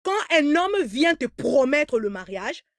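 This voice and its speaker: A woman's voice: high-pitched, sing-song, a little slow, no room sound, studio quality. A woman giving heated live commentary in an emphatic, repetitive way, stammering as she restarts, whose first language is German. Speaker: online commentator.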